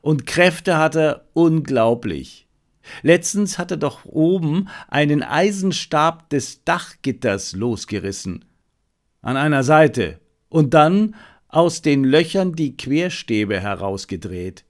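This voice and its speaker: A man's voice: fairly high pitch, animated, slow. A male audiobook reader voicing a talkative, animal-loving zookeeper who explains things chattily. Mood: impressed. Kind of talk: audiobook character dialogue.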